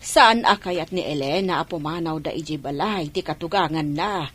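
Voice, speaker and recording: Woman acting a husky old village grandmother. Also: deep voice, moderate pace, a bit bright. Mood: troubled. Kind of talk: Ilocano radio drama acting.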